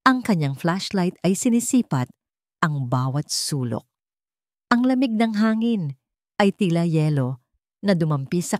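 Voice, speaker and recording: A woman's voice: fairly low pitch, a little mellow, dry recording, clean studio sound. A woman reading a scripted dramatic story as a storyteller.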